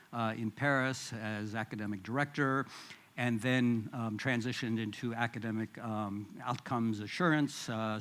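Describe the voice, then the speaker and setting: A man's voice: mid-range voice, a bit bright. A middle-aged man with a Midwestern American accent, giving a friendly, self-deprecating conference talk with frequent fillers. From United States. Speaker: conference panelist. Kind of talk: conference presentation. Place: conference hall.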